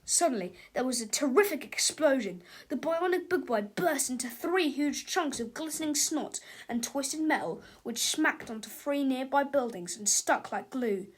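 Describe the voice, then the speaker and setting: A woman narrating a children's book as an expressive read-aloud. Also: high-pitched, normal speed, hardly any pauses, breathy edge. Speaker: storybook narrator.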